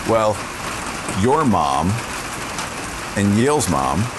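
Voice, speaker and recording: Low-voiced podcast host, rain noise behind. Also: male voice, big pitch swings, extremely slow. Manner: brief reactions, asks direct questions. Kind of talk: interview questions.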